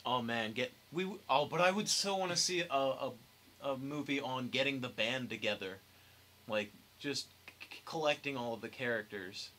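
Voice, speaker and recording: Young male podcast host, bright mid-pitched voice, wry and conversational, some background noise. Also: normal speed. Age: young adult. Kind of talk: casual podcast discussion.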